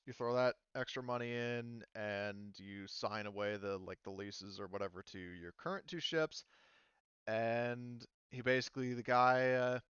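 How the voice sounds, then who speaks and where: A man's voice: on the low side, fairly bright tone, clear, resonant tone, dry recording, dull audio. A man running a tabletop game as game master, talking loosely with many fillers over a voice call.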